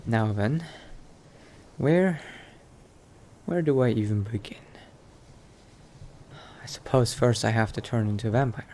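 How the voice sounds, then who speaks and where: A man playing a thoughtful scholar who is turning into a vampire, musing aloud haltingly in an improvised roleplay monologue. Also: medium pitch, expressive, a little mellow, slight background noise, close to an everyday voice.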